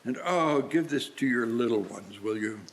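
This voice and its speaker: A man's voice: mid-range voice, expressive, moderate pace, creaky, rough recording. A man playing a reformed old Victorian businessman in a live radio play, speaking formally and earnestly. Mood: hearty, generous.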